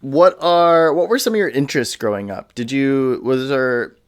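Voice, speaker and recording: A man's voice: mid-range voice, normal speed, smooth, no echo, studio quality. A man hosting a podcast in a casual conversational interview style, with an enthusiastic, affirming manner. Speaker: podcast host.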